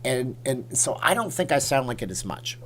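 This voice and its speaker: A man's voice: medium pitch, big pitch swings, moderate pace, fairly bright tone, slight background noise. A middle-aged man from Canada hosting a casual livestream, telling a rambling story and talking straight to his chat. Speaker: livestream host.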